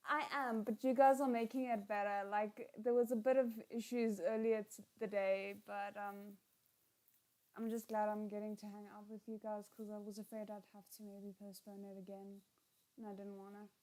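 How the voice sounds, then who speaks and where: A woman's voice: medium pitch, flat delivery, normal speed, mellow, low-quality recording. Woman casually chatting through a live watchalong. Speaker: watchalong reaction host. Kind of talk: casual live reaction chat with viewers.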